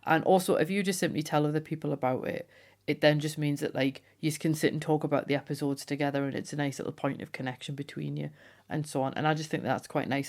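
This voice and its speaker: A woman's voice: deep voice, darkish tone. Chatty woman with a cold. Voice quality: voice going slightly hoarse. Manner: chatty, enthusiastic, laughs often. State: has a cold. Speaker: folklore podcast host. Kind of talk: casual solo podcast chat.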